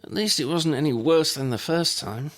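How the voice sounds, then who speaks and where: A man's voice: a little high, normal speed, pitched above the performer's own voice, close to an everyday voice. A man voicing a frank, plucky young girl with a small voice in an audiobook.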